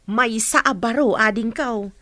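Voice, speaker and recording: A woman's voice: on the high side, a little slow, bright, forceful tone, no echo. A woman playing a respectful, chatty senior household maid in a radio drama. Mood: confiding. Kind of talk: Ilocano radio drama acting.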